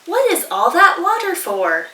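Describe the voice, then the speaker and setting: A chirpy, curious platypus from a picture book voiced by a woman. The voice is high and bright, emphatic with advice, in a roomy echo. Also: wildly swooping pitch, a little slow, heavily acted. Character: helpful male platypus offering cooking advice. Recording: roomy echo, distant mic.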